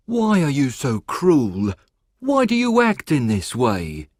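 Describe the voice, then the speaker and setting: A man's voice: mid-range voice, huge pitch swings, slow, a little mellow, dry recording, clean studio sound, full character voice. A man playing a stern but kindly woodcutter and foster father in a storybook tale, emphatic and reproachful. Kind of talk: dramatised storybook reading.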